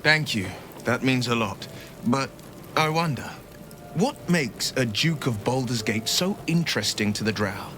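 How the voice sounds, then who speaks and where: A man's voice: mid-range voice, animated, a little slow, music in the background, close to an everyday voice. A man playing an earnest, eloquent young nobleman monster hunter in dramatic game dialogue, burdened by his past. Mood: grave, resolute.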